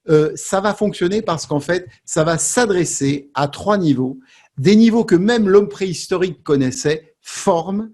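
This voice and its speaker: A man delivers an explanatory talk in a distinctly high voice with a husky edge. He teaches in a didactic way, setting out questions and then answering them for his audience. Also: darkish tone. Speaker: presenter giving an online talk.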